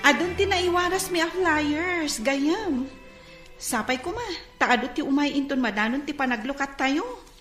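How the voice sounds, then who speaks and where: A Filipino woman acting a soft-hearted, curious young businesswoman in a radio drama, giggly and hesitant. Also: sits high, lively intonation, measured pace, faint music behind. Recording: roomy, echoing sound.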